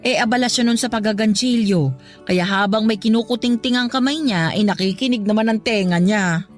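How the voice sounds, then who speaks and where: A woman's voice: on the high side, fairly quick, faint music behind, natural voice. Woman acting a chatty, frank middle-aged mother. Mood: teasing, gossipy. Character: humble, chatty middle-aged mother, teasing yet caring. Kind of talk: Tagalog radio drama acting.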